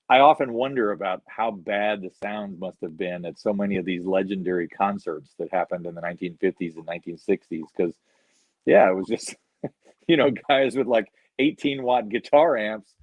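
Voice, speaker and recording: A man's voice: on the low side, expressive, moderate pace, dry recording. A man guest on a video-call interview, telling rambling anecdotes full of "you know". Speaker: guest musician. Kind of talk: relaxed storytelling interview. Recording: slightly dull lo-fi sound, dry.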